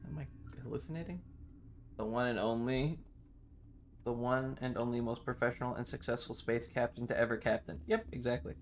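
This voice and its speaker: A man's voice: medium pitch, steady tone, brisk, a little hiss or hum. A man giving casual live game commentary and chatting with viewers in a playful, dramatic, sweary way. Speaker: livestreamer. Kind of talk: casual game stream commentary, reacting to chat.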